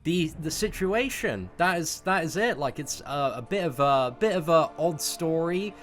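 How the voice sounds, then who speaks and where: A man's voice: fairly high pitch, animated, a little slow. A young British man in casual, slangy storytime mode, talking to his viewers as a gaming video host with emphatic, sometimes sweary phrasing. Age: young adult.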